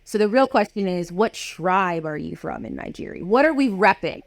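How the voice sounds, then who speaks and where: A woman's voice: lowish voice, sing-song, moderate pace, gravelly, no room sound. A woman hosting a casual interview over a video call, upbeat and emphatic, putting direct questions to her guest. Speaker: podcast host.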